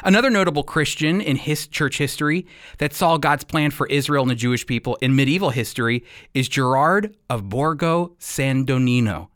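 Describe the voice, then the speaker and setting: Male host, mid-pitched, earnest and emphatic, teaching Bible history in a dry studio sound. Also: normal speed, fairly bright tone, no echo.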